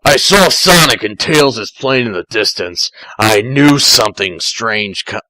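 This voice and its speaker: A man voicing a gruff, solemn, watchful male cartoon echidna, speaking haltingly and deliberately in an amateur fan fiction reading. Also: fairly low pitch, lively intonation, pushed and bright, no echo, voice pushed down, poor audio. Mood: suspicious, solemn.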